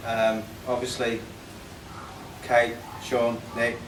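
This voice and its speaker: Distant echoey recording, man, fifties, mid-pitched voice, impromptu speech, halting, sincere. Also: completely flat delivery. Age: 50s. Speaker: birthday honoree giving a speech. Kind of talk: impromptu thank-you speech. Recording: echoey, noisy room, distant microphone.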